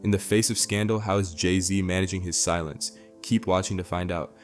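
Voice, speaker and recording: Deep-voiced male news narrator. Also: steady tone, moderate pace. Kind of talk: scripted celebrity news narration. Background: background music.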